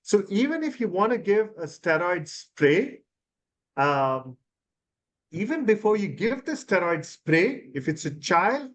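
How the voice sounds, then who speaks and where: A man from India, an ENT surgeon, explaining nasal treatment in an interview with many fillers and restarts. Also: high voice. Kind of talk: expert interview answers.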